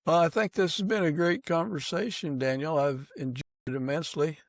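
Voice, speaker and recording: A man hosting a podcast interview in a conversational way, haltingly searching for his words. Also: on the high side, fairly even intonation, dry recording, studio quality. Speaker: podcast host. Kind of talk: conversational interview with a prayer and sign-off.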